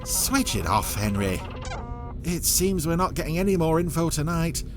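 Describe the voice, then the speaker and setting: A man puts on a greedy industrial spy for an audio drama. He is snappish and bullying, with a raised, wildly swinging pitch over music. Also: big pitch swings, moderate pace. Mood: angry, impatient. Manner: snappish, scornful.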